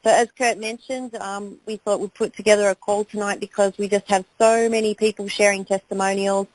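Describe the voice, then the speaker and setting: A woman's voice: mid-range voice, little pitch movement, a little fast, darkish tone, dry recording. An Australian woman hosting a team phone call, upbeat and enthusiastic. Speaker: call host.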